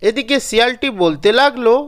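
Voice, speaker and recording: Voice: mid-range voice, lively intonation, fairly quick, a bit bright. A narrator reading a scripted children's cartoon story.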